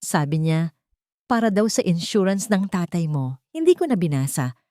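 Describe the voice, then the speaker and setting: A woman's voice: lowish voice, big pitch swings, normal speed, warm tone, no room sound, clean studio sound. A woman voicing an anxious, protective elderly mother in an audio drama, with a fearful, pleading manner. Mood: anxious, confiding.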